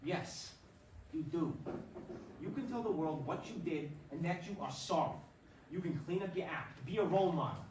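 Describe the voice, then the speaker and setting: A man's voice: a little high, animated, normal speed, close to an everyday voice. A man in a staged play reading playing an earnest, pleading ballplayer and expectant father grieving his dad. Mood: firm. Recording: roomy stage recording, distant mic, faint noise.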